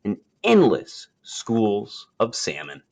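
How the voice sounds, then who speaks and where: A man reading a children's nature story aloud, with expressive, emphatic phrasing and dramatic pauses. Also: on the low side, vocal fry.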